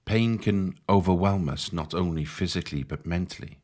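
Deep low voiced guy doing guided meditation, soothing and measured, walks you thru it step by step. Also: darkish tone.

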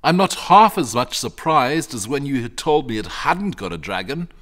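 A man voicing a calm, bookish young boy in a storybook, matter-of-fact, patient and slightly superior. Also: medium pitch, normal speed, voice pushed up. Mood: calm, assured. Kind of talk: storybook character reading.

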